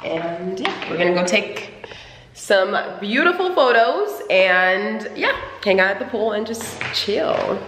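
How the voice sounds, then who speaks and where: A woman's voice: mid-range voice, very animated, roomy sound, long reverb tail, background noise. A woman in her twenties talking casually and playfully to a camera held at arm's length in a hotel room. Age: 20s. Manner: playful, chatty, drawn-out emphasis. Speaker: vlogger.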